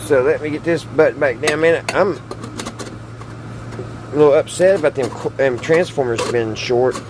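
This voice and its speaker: Folksy man with clear background noise. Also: fairly high pitch, moderate pace. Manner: folksy, hesitant, thinking aloud. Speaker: host of a hobby radio repair channel. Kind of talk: casual chat while tinkering, hands-on demonstration. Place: small wood-panelled indoor workshop.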